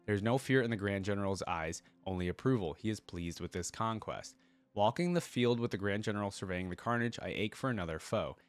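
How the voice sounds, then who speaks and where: A man's voice: on the low side, fairly quick, lightly acted. A man voicing a brutal, bloodthirsty undead warrior in terse, grim declarations, as a dramatic story reading. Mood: grim, restless.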